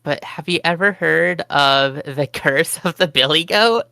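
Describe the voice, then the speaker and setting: A woman telling a rambling story on an online call, excitable and jumping up in pitch. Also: unhurried, creaky, no room sound, studio quality. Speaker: group chat participant. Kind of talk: casual storytelling chat.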